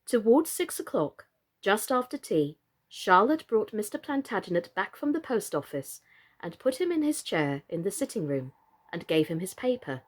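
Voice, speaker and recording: Expressive woman reading for children. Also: mid-range voice, moderate pace. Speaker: children's story reader and online storytime presenter. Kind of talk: storybook narration and friendly presenting to children.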